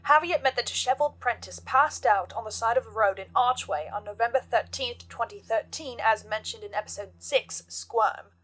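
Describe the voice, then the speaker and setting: A woman hosting a scripted horror analysis, speaking as an investigator in a measured, deliberate way with dramatic pauses. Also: fairly high pitch, fairly bright tone, slightly rough, rough recording. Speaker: host of a fan theory channel. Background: music.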